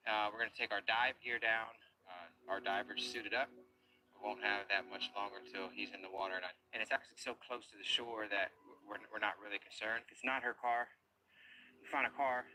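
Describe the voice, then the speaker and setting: A man's voice: fairly low pitch, noisy background. A man leading a diving search team, explaining each step in a casual, matter-of-fact way from a boat on a river. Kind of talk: casual on-site narration.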